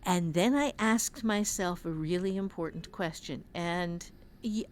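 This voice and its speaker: A woman talking casually on a podcast as an author and writing teacher, telling her story in a halting, reflective way. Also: lowish voice, slight background noise.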